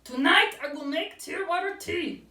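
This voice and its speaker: A woman voicing a self-pitying male owl from a storybook, with a drawn-out, plaintive delivery. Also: extremely high pitch, animated, sharp tone, voice pushed up, full character voice. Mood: solemn anticipation. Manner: drawn-out, tearful wailing. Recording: roomy, distant from the mic.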